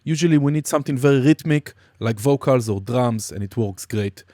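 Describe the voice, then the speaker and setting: A man teaching music production in a casual software demonstration, explaining informally and somewhat hesitantly step by step. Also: mid-range voice, fairly quick, no echo, studio quality. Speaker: music production tutorial instructor.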